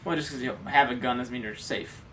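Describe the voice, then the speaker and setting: A man with an American accent chats casually as a co-host on a podcast. His delivery is very quick, and he sounds a little far from the mic with faint noise around him. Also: mid-range voice, pushed and bright. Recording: distant mic, background noise.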